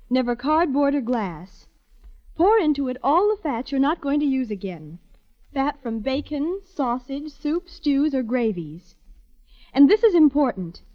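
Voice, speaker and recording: A woman reading a scripted, instructive 1940s radio announcement as an advertising spokeswoman. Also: high-pitched. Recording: dull 1940s radio recording.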